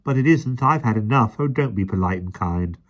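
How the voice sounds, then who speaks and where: An earnest, eager young boy who pleads and blurts out his feelings, as a man voices him. His voice is pitched well above the reader's natural deep register. He belongs in a children's storybook reading. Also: lively intonation, normal speed. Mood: pleading.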